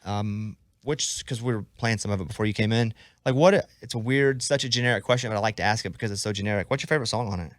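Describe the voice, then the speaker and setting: A man's voice: on the low side, fast talker, dry recording, studio quality. An American man with a talkative, joking manner, interviewing a guest casually as a radio show host. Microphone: close studio microphone.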